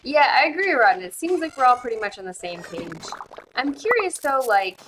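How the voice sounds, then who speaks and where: A woman's voice: high-pitched, very animated, a little fast, background noise. Chatty American woman streaming a game. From United States. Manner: chatty, thinks aloud with fillers. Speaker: streamer and host. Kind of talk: casual chat while playing a game. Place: home, living abroad.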